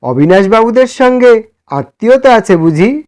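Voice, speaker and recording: A courteous, reserved male doctor in storybook dialogue, voiced by the narrator, a man. He speaks in a fairly high voice and has a polite, inquiring manner. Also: sing-song, moderate pace, darkish tone. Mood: curious.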